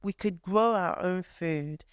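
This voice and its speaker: A woman's voice: on the low side, slow pace, smooth, dry recording. A woman from Nigeria who is an artist, answering a workshop question in a thoughtful way with many fillers. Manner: thoughtful, many fillers, acts out friends' voices.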